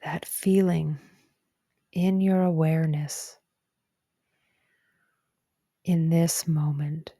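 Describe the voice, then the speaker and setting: A woman narrating a guided meditation in a gentle, calm and soothing way, with soft breathy phrase endings. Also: low voice, drawn-out words, pauses often, no echo, studio quality. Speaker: psychologist guiding a meditation.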